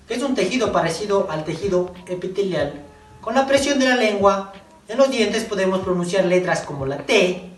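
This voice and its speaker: A young adult student giving an explanatory class presentation, recorded with echo and at a distance from the microphone. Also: mid-range voice.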